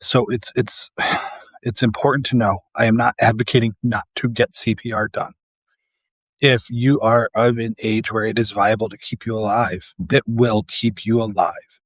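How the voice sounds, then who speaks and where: A man's voice: on the low side, level tone, normal speed, breathy edge, no room sound. A man who works as a paramedic, talking casually and earnestly to camera, tired and losing his voice.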